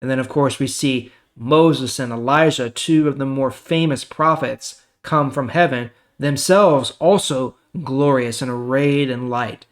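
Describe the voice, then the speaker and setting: A man's voice: medium pitch, fairly even intonation, measured pace, few pauses. A man reading the Bible and explaining it in a casual, conversational way as host.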